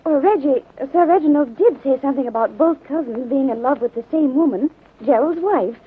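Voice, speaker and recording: An actress playing an earnest young secretary in a 1930s radio drama, hesitant and emotive. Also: female voice, high-pitched, fairly quick, natural voice. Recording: tinny narrow-band 1930s radio recording.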